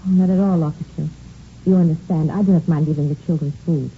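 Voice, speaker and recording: A concerned French household cook in an old radio play. Her low voice has a French accent and a careful, formal politeness. The recording is muffled, with faint noise behind her. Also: fairly even intonation, quick pace. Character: earnest, concerned foreign-born female household cook. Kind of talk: 1950s radio drama acting.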